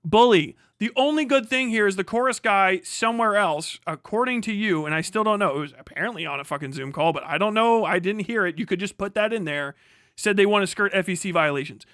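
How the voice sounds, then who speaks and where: A man with a high, bright voice delivers an off-the-cuff commentary rant. He is indignant and profane, hammering points home through repetition. The sound is close and dry, like a streamer's microphone. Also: lively intonation, a little fast, dry recording. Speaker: livestream commentator. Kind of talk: unscripted reaction rant.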